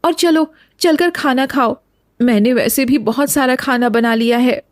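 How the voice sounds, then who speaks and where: A woman's voice: high voice, measured pace, no echo, clean studio sound. A woman playing a fearful, scolding village wife in a cartoon story, speaking insistently. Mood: annoyed, dismissive. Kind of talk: scripted Hindi cartoon story dialogue. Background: background music.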